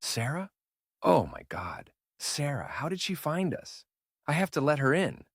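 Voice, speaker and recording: A desperate young man acted by a man in a horror tale. He speaks slowly and haltingly, with anxious swings in pitch and a dry, close sound. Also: very animated, husky, no echo, heavily acted. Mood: shocked, desperate. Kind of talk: horror audio story dialogue.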